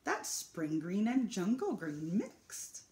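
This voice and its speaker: A woman's voice: medium pitch, measured pace. Woman doing a little storybook girl. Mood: delighted. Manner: expressive, storybook dialogue. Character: young girl who loves coloring. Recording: echoey room.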